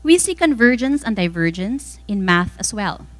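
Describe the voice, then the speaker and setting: A woman with a Filipino accent reading a formal scripted speech as a guest speaker, measured and emphatic phrase by phrase. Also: mid-range voice, very animated. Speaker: guest speaker, former mayor.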